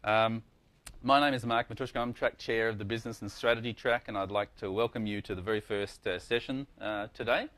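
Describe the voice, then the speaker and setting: Low-voiced man introducing a conference session. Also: steady tone, a little fast, fairly bright tone. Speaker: conference track chair introducing a speaker. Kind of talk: informal live session introduction.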